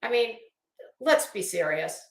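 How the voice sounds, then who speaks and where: Slow-paced, blunt woman in an echoey room. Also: mid-range voice, expressive. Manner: blunt, scornful, emphatic. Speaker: YouTube channel host. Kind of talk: unscripted opinion rant livestream. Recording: roomy, echoing sound.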